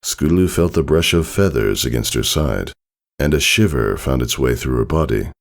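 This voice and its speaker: A man narrating a scripted story dramatically, in a solemn and measured manner. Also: extremely low pitch, expressive. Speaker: fan fiction narrator. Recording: soft music behind the voice.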